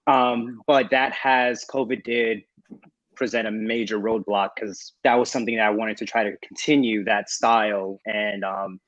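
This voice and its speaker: A man speaking as a panelist in casual conversation, halting as he searches for words. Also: lowish voice, fairly even intonation, fairly bright tone, no echo. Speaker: panelist, director with acting background. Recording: dull, lo-fi microphone sound.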